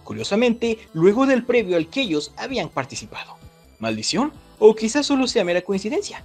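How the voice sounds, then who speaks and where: High-pitched, animated male YouTuber. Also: fairly quick, faint music behind. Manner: chatty, addresses viewers directly. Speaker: YouTube host. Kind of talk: casual scripted video commentary.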